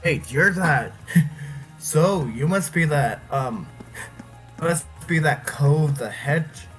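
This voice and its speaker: A man doing casual co-commentary on a game with improvised role-play, halting as he searches for words. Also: on the high side, lively intonation, fairly bright tone, soft background music, rough recording. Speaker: video game let's player, co-commentator.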